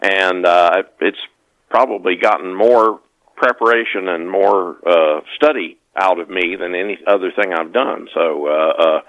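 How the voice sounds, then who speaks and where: Halting, low-voiced male author guest. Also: a bit bright, lo-fi sound. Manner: halting, often repeats and restarts words. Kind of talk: casual interview talk.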